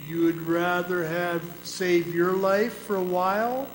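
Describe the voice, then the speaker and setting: A man playing the solemn, forbidding ghost of a murdered man in a radio drama, speaking deliberately. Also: sits high, fairly even intonation. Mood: grave warning. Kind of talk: old-time radio drama acting on stage. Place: performing arts center hall. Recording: echoey hall, faint noise.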